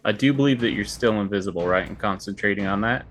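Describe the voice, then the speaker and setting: A man's voice: lowish voice, fast talker, a bit bright, slight background noise. A man acting as game master, narrating and managing turns in an online tabletop game.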